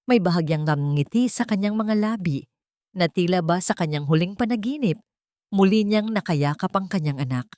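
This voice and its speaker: A woman storyteller speaks in a fairly low voice that rises and falls a great deal. She narrates solemnly and sentimentally, with deliberate phrasing. The sound is dry, with no room around it. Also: darkish tone, no room sound. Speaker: storyteller, host of an audio story channel. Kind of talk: scripted dramatic story narration.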